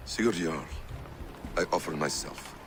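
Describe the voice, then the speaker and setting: An actor playing a calm, calculating, shadowy adviser in a video game, gravelly and hushed. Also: male voice, sits low, a little slow, noisy background. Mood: discreet. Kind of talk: dramatic video game acting.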